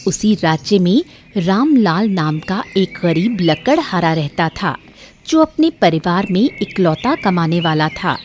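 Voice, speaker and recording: A woman's voice: on the low side, sing-song, measured pace, darkish tone, slight background noise. A woman reading scripted narration for a moral tale as storyteller.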